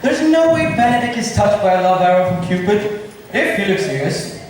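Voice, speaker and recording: A man's voice: high-pitched, expressive, normal speed. A male student drama club performer playing a teasing, jovial prince on stage. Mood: mocking. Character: teasing, jovial prince among his friends. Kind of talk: amateur stage acting. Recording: very echoey hall, distant mic, faint hiss.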